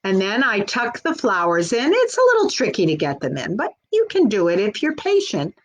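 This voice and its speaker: A woman's voice: medium pitch, wildly swooping pitch, a little fast. A woman working as a children's librarian, hosting a live storytime in a simple, encouraging way and speaking directly to young children.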